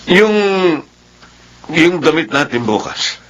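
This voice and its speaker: Ambitious middle-aged husband, Filipino,: highish, lively, boastful drama delivery. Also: male voice, takes it slowly, full character voice, poor audio. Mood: pleased, boastful. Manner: boastful, eager.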